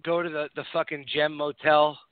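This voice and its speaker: A man's voice: fairly high pitch, little pitch movement, moderate pace, clean tone, no echo. A man co-hosting a casual podcast, blunt and opinionated, swearing freely. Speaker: podcast co-host. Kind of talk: casual chat. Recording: dry, phone-like sound.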